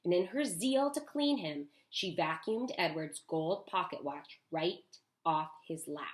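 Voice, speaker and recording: A woman teacher reading a storybook aloud to children, expressive, with chatty asides. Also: on the low side, unhurried. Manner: animated, with chatty asides to young listeners.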